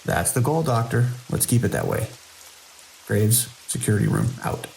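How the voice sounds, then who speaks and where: Deep male voice, seasoned security chief played by a man, heavy vocal fry, measured, wry, audio drama, faint rainy hiss. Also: huge pitch swings, a little mellow, low-quality recording. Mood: businesslike. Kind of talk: audio drama dialogue and log monologue. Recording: faint hiss and rain-like noise.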